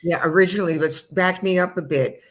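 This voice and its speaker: A woman's voice: on the low side, a little fast. Chatty older woman host in her seventies. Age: 70s. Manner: chatty, rambling questions with restarts. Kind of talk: interview.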